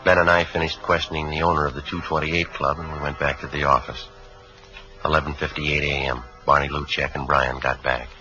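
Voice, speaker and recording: A calm American police sergeant from 1950s radio drama. The voice is extremely low with a firm, bright edge. Delivery is clipped, deadpan and factual, on a dull old broadcast recording. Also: male voice, fairly quick, soft background music, heavily acted. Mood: flat, factual. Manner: deadpan, terse, matter-of-fact. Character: calm, businesslike male police sergeant narrating his case.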